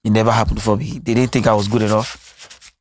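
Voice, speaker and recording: A man's voice: on the low side, little pitch movement, brisk, a little mellow. A Nigerian man whose first language is Yoruba, talking earnestly and reflectively as an interview guest, often restarting his sentences. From Nigeria (Lagos). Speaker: musician guest. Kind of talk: candid interview conversation.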